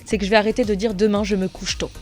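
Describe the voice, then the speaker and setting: A woman's voice: mid-range voice, level tone, racing, darkish tone, faint music behind. A woman radio columnist presenting facts in an informative way, laughing at herself now and then.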